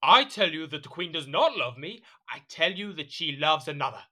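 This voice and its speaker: A man's voice: on the high side, animated, normal speed, pushed and bright, exaggerated voice. An actor playing a petulant, jealous French king in a period audio drama, speaking in an imperious, indignant, theatrical manner.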